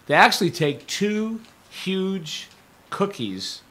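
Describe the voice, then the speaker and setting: An older man hosting a community radio show from a studio, talking casually in a rambling, digressive way while his voice is going hoarse. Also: high voice, sing-song, slow pace, sharp tone. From Stockton, California. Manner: rambling, digressive, self-correcting. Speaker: radio host. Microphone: studio microphone.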